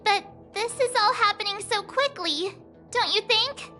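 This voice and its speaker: A woman voicing a perky, unsure teenage girl apprentice in a video game, with a bubbly, hesitant, expressive manner. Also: very high-pitched, a little slow, faint music behind, heavily acted. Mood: doubtful.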